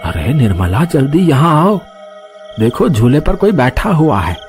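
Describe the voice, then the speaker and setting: Cartoon village husband played by a man: bold, exclamatory, highly animated pitch, faint music bed. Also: unhurried. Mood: urgent. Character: village husband, concerned but bold, a bit bossy. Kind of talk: Hindi cartoon character acting.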